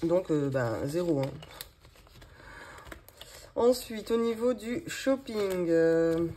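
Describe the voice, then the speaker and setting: Chatty woman in her thirties, rather low. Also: lively intonation, slow pace, dark tone, a little hiss or hum. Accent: regional French accent. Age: 30s. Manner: chatty, rambling, many fillers and restarts, laughs often. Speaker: budgeting vlogger. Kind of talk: casual chat while doing a cash-envelope budget.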